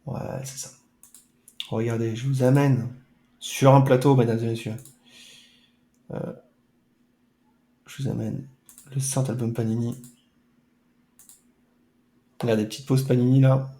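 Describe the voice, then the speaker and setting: A man's voice: mid-range voice, flat delivery, unhurried, long pauses, warm tone, reverberant. A man streaming a retro football game, chatting casually with playful, mock-dramatic asides. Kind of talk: casual let's-play chat.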